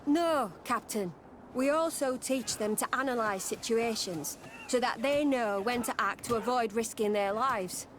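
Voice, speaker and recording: A woman's voice: fairly high pitch, unhurried, close to an everyday voice. An actress playing a disciplined female military instructor in a video game, formal and respectful. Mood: composed. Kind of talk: video game cutscene acting. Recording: outdoor ambient noise throughout.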